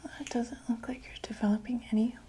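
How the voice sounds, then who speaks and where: A woman playing a gentle vampire doctor in a whispered ASMR medical roleplay, reassuring and a little hesitant. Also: on the high side, flat delivery, fast talker, breathy edge. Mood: calm, reassuring. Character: gentle female vampire doctor examining a patient.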